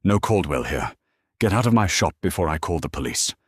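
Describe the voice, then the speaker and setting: Defiant shopkeeper heroine, read by a low-voiced man: calm, firm, unshaken, dry audiobook sound. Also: a little fast, breathy edge, no room sound, heavily acted. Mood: steady defiance. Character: steady, defiant woman shop owner, outwardly calm.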